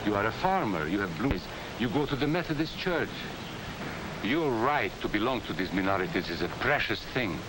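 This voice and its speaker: A man's voice: mid-range voice, close to an everyday voice. Earnest immigrant played by a man, noisy soundtrack. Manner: earnest, deliberate, persuasive. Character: earnest Hungarian-born immigrant defending American freedom. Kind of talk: 1940s film acting. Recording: noisy old film soundtrack.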